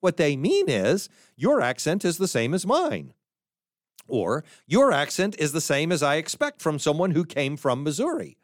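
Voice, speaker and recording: Animated American man lecturing on philosophy. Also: fairly high pitch, no echo, studio quality. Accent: General American. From United States. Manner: thoughtful, halting, with frequent restarts and asides. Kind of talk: unscripted spoken lecture.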